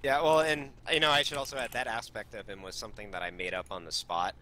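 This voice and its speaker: Man, first-time game master, low pitch, bright tense voice, eager, hesitant. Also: fairly even intonation, fast, slight background noise. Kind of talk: casual feedback discussion on a call.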